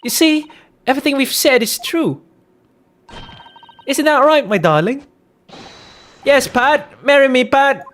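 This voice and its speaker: Lovestruck wife, done by a man in falsetto, courtroom game: very high, cooing, sugary, exaggerated. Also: measured pace, pauses often, a bit bright. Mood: doting.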